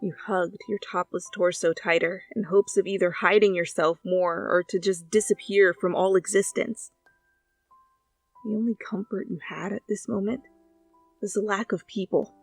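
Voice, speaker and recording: A woman's voice: mid-range voice, steady tone, moderate pace, gravelly, dry recording. A woman reading scripted second-person fiction as a narrator, close to the microphone. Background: soft background music.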